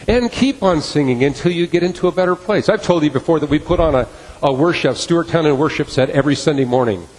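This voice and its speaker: A man's voice: fairly high pitch, breathy edge. A middle-aged man preaching a sermon as pastor, earnest and repeating key phrases for emphasis. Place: church sanctuary. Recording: long reverb of a church hall.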